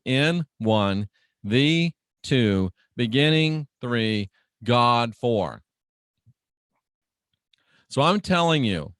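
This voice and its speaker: A man delivering a dramatic sermon-like radio monologue as host, speaking emphatically and deliberately, repeating phrases and putting showman-like rhetorical questions to listeners. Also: medium pitch, no echo, studio quality.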